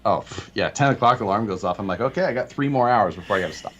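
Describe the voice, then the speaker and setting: A man's voice: on the low side, lively intonation, fast, fairly bright tone. A man with a nasal voice in casual live-stream chat as a guest, rambling and laughing often.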